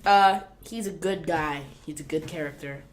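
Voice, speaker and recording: A young woman co-hosting a casual chat, blunt and lively, swearing freely. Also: deep voice, normal speed. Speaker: co-host.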